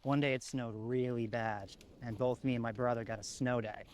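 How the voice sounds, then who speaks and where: A man's voice: mid-range voice, fairly even intonation, normal speed, a little hiss or hum, natural voice. A man playing a haunted, reflective lone apocalypse survivor, confiding in a plain-spoken found-footage horror monologue. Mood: reflective, wistful.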